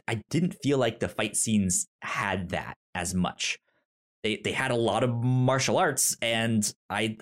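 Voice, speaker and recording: A man co-hosting a casual film discussion, thinking aloud haltingly and often repeating words as he works toward his point. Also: fairly low pitch. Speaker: podcast co-host.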